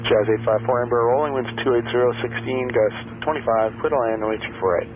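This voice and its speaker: A male air traffic controller issuing clipped procedural clearances over aircraft radio. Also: mid-range voice, fairly even intonation, fast. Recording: tinny, very noisy aviation radio. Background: aircraft hum and radio noise.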